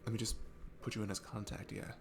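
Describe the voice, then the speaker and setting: A man's voice: fairly low pitch, little pitch movement, fast, vocal fry. A man playing a shy, sweet, nervous young guy at a bar in an intimate ASMR roleplay, stammering and hesitant. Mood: nervous, smitten. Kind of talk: ASMR roleplay audio, intimate one-sided conversation. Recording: music behind the voice. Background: music.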